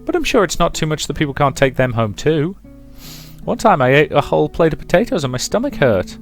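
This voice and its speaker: A man's voice: a little high, very animated, a little fast, soft background music, pitched above the performer's own voice. A man voicing a chatty, earnest, naive young girl from a visual novel, in an eager, childlike, rambling way.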